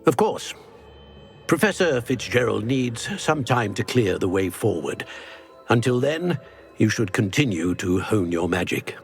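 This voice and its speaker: A solemn, cautious old male mentor from a fantasy game. His low voice is full of gravel and rises and falls expressively as he speaks with formal deliberation. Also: lively intonation, unhurried, faint music behind. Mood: formal, advising. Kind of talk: video game cutscene acting.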